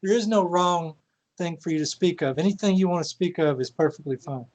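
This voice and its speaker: High-voiced male interview host. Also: a little fast, a little mellow. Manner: encouraging, agreeable. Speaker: podcast host. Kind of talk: casual interview.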